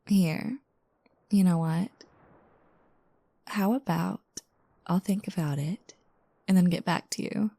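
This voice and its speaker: Woman acting a drowsy, creaky-voiced teasing girlfriend. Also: lowish voice, dry recording, full character voice. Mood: mock bossy, teasing. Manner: soft, breathy asides, teasing, unhurried. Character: teasing, playful new girlfriend waking up drowsy. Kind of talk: intimate girlfriend roleplay audio.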